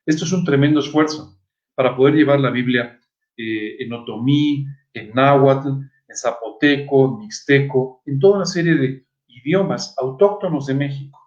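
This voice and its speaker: A man's voice: medium pitch, normal speed. A man preaching a sermon in an earnest, didactic manner, often posing rhetorical questions. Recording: echoey room, dull muffled sound.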